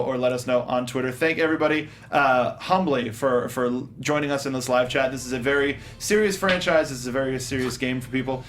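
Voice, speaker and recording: A man hosts a casual panel show in an informal, chatty way. His pitch is middling for a male voice, and he sounds enthusiastic about the discussion. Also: fairly bright tone. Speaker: host. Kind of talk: casual panel chat about film casting.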